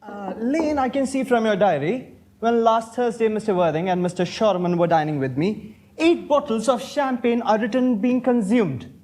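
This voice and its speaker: Idle, pompous young gentleman for a stage comedy of manners, played by a man; high-pitched, witty. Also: normal speed, natural voice. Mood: lordly, flippant. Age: young adult. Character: idle, witty young Victorian gentleman, flippant and self-assured. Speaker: student actor in a stage play.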